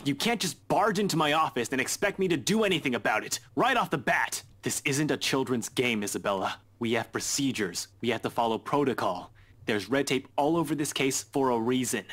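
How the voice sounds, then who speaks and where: A man's voice: medium pitch, lively intonation, a little fast, fairly bright tone. Irritated, stern young male investigator. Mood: angry. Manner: exasperated, scolding. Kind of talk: visual novel game voice acting.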